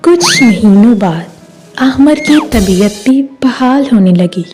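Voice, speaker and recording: A woman storyteller with a high, mellow voice. She reads a scripted story at a slow pace, with music behind her. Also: expressive. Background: background music.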